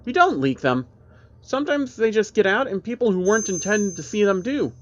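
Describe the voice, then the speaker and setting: A man's voice: high-pitched, very animated, brisk, highs cut off, voice pushed up. A man voicing a guarded, evasive but firm woman from a secretive group in a narrated horror story. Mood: defensive, evasive.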